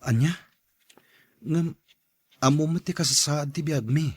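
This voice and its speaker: A man's voice: on the high side, measured pace, husky, dry recording, studio quality. An actor playing a poor, hesitant young male suitor in a radio drama, sounding troubled. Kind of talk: Ilocano radio drama acting.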